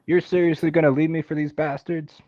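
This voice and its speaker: A man's voice: fairly high pitch, flat delivery, fairly quick, no room sound, dull audio, lightly acted. A man playing a sardonic, dramatic western outlaw in an amateur table read. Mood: defiant. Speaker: actor and organiser of a table read.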